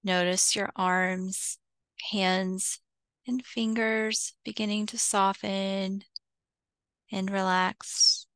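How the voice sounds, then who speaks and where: Female meditation guide, very slow and soothing, gentle fry in a smooth tone, close dry sound. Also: mid-range voice, level tone, dry recording, low-quality recording. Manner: soothing, unhurried, with long pauses between short phrases.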